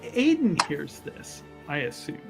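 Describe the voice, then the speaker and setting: A man playing a tabletop roleplaying game, casually narrating his character's actions in an excitable, loosely worded way. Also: on the high side, a little slow, vocal fry, music in the background.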